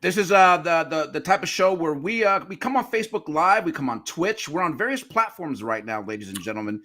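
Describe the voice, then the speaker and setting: A man's voice: a little high, expressive, brisk, sharp tone. A man co-hosting a podcast, introducing the guest with enthusiastic hype-style intros and stumbling over some words. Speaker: podcast co-host.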